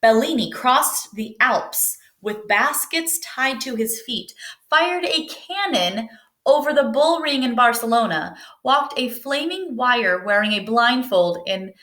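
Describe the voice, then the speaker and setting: Lady reading as excitable showbiz agent guy, showy storybook character, echoey. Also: on the high side, slow, voice pushed up, heavily acted. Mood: marveling. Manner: exclamatory, showy. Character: excitable, calculating male theatrical talent agent. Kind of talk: storybook read-aloud. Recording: roomy indoor echo.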